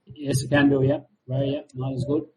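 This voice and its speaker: A man's voice: medium pitch, flat delivery, brisk, warm tone. Man moderating over a noisy call. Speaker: webinar moderator. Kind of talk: informal moderating. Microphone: video call. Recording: noisy webinar call audio.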